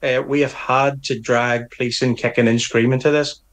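A man's voice: mid-range voice, level tone, moderate pace. A middle-aged man with a Northern Irish accent, answering interview questions firmly and earnestly, often restarting his phrases. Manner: earnest, firm, with frequent restarts. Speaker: police association representative.

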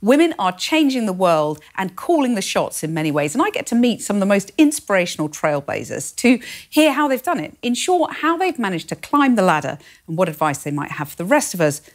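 A woman's voice: mid-range voice, big pitch swings, brisk. A woman hosting a radio interview in a polished presenter manner. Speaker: radio host.

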